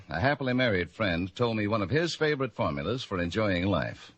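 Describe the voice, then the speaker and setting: A male announcer reads a vintage radio commercial in a polished, genial pitch, his voice swinging widely in tone. It is a little husky and close, from a dry old broadcast. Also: fairly bright tone, dry recording.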